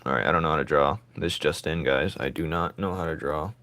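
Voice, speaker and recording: Low raspy-voiced man rambling while drawing. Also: brisk, bright, forceful tone. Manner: rambling, thinking aloud, joking with viewers. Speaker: artist streaming his drawing. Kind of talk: casual live chat while drawing.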